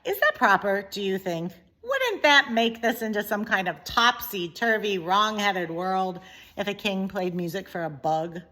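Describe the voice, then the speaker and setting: A woman's voice: medium pitch, expressive, a little slow, pushed and bright. A woman voicing a pompous, stubborn king and doting father in a children's storybook, with emphatic delivery. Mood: indignant.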